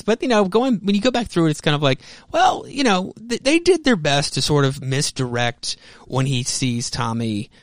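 A man hosting a podcast with casual, rambling commentary, halting and often interrupting himself in an informal way. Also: medium pitch, fairly bright tone, dry recording, studio quality. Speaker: podcast host.

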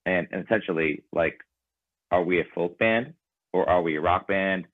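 Deep male guest, native Ukrainian speaker, loose and meandering, phone-quality dry audio. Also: moderate pace, no room sound. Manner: thoughtful, rambling with fillers. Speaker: band member guest. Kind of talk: casual interview conversation. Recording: tinny phone-like line.

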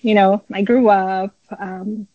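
A woman from Ethiopia, around her thirties, telling her personal story casually as a podcast guest, pausing often as she gathers her thoughts. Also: medium pitch, fairly even intonation, mellow, a little hiss or hum. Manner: hesitant, many pauses while gathering thoughts. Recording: slightly dull, muffled lo-fi audio.

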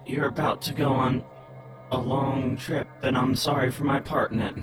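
Man doing a grave male agent, low somber voice, music underneath. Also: little pitch movement, normal speed, close to an everyday voice. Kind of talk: tabletop game role play.

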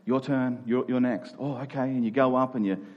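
A man delivers an informal sermon in a medium-pitched voice. He speaks earnestly and humbly about himself, often doubling back on a phrase as he works through a thought. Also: fairly even intonation, brisk, muffled recording. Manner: earnest, self-deprecating, thinks aloud with repeated phrases. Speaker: preacher. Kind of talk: conversational sermon.

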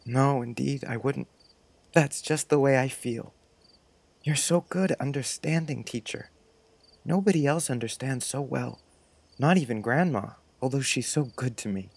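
A man's voice: mid-range voice, a little slow, gravelly, a little mellow, dry recording. Man playing a wistful, earnest little schoolboy. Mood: confiding, wistful. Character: sensitive, dreamy, earnest little schoolboy missing his mother.

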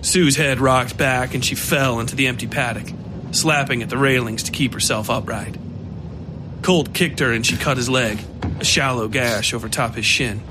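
A man giving scripted narration of a dark horror story. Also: medium pitch, moderate pace, background noise.

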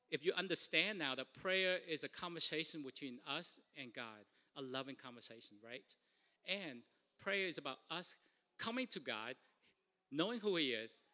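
Bright-voiced pastor man, Vietnamese accent. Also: fairly high pitch. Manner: earnest, repeats and restarts words. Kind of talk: sermon. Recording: long reverb of a large hall.

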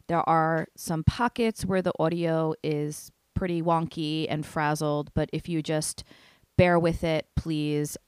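A woman host reading a scripted episode introduction in a measured, apologetic way. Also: low voice, steady tone, clear, resonant tone, dry recording, studio quality. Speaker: podcast host.

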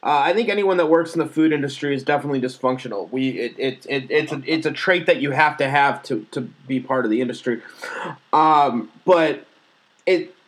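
A man's voice: mid-range voice, fairly quick. A man chatting casually as a host, often repeating words as he restarts his sentences. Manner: stammering restarts, relaxed. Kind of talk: casual chat.

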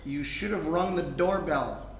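A man acting out a fretful, fed-up younger brother in a live comedic play reading. His voice is high for a man, with terse, testy replies. It rings in a roomy space. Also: measured pace, a little hiss or hum. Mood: flustered. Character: exasperated, anxious younger brother standing his ground. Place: indoor room before a live audience. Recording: roomy live stage recording.

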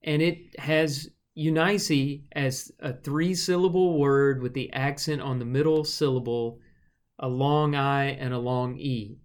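A man of middle pitch leads a conversational Bible study on a podcast. He explains each point patiently and informally. His talk is loose and full of small fillers. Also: unhurried. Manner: relaxed and explanatory, with frequent fillers.

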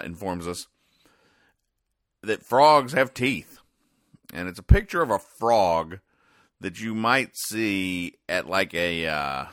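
Very deep-voiced man, deadpan and halting. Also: dry recording, clean studio sound. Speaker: podcast host. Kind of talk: casual comedic recap of newspaper comics.

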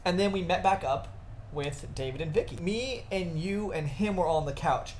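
A young woman co-hosting a podcast, recounting a night out in a relaxed, easygoing way. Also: fairly low pitch, normal speed, slight background noise, low-quality recording. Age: young adult. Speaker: podcast co-host. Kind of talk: casual storytelling chat.

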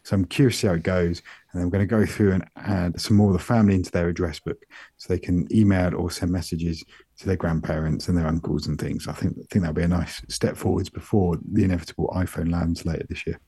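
A middle-aged British man co-hosting a podcast, chatting casually in a soft, rambling way. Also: deep voice, quick pace, husky, dry recording. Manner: soft-spoken, relaxed and rambling. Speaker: podcast co-host. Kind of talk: casual chat.

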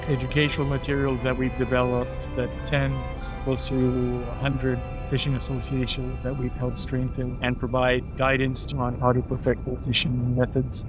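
A man from Haiti who works in coastal conservation, talking about his past and his work's achievements. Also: medium pitch. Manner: recalls his past and then lists achievements. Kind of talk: reflective interview talk. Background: background music.

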